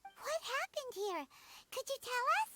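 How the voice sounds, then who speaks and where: A woman voicing a tiny, curious, childlike fairy companion in a video game, with a squeaky cartoonish voice. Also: sing-song, a little slow, bright, forceful tone, heavily acted. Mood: concerned. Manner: inquisitive, speaks of herself in third person.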